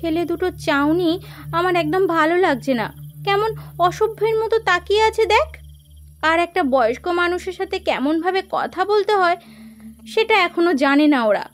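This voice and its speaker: A woman in her twenties voicing a cautious, sensible young woman in an animated ghost story. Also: very high voice, normal speed. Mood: wary. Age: 20s. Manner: cautious, persuasive.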